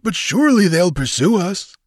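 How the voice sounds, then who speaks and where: An urgent corsair acted by a male narrator, weary and scared yet insistent. The voice is raised high and strained, clamoring in the manner of a dramatic storybook adventure. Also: very animated, normal speed, no room sound, clean studio sound. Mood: fearful, urgent. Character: weary, frightened but insistent corsair pleading to board. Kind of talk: dramatic audiobook character voice.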